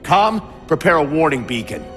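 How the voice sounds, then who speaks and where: An actor playing a stubborn, officious older warship captain in a dramatic video game cutscene. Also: male voice, on the high side, sing-song, normal speed, fairly bright tone. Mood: commanding. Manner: commanding, officious. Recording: long reverb, music underneath.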